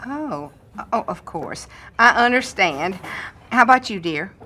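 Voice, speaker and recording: An actress in an audio drama playing a folksy, no-nonsense diner waitress with a homey, matter-of-fact manner. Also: female voice, mid-range voice, lively intonation, unhurried, sharp tone. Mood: sympathetic. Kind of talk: audio fiction drama acting.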